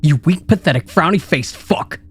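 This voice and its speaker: A man's voice: lowish voice, lively intonation, a little fast, a little mellow, breathy edge, faint music behind. Man voicing a vicious sneering inner critic. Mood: mocking. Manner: mocking, taunting, vicious. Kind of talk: dramatized horror story narration.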